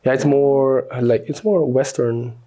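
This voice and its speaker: Young Austrian man with a mellow voice. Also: mid-range voice, steady tone, unhurried. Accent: Austrian German. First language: German. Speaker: guest influencer. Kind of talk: casual interview chat.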